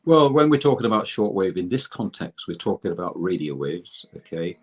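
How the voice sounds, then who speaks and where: Older man, deep voice, rough husky tone, halting technical explanation, repeats words, interview guest. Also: very animated. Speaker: guest expert and researcher in electrotherapy.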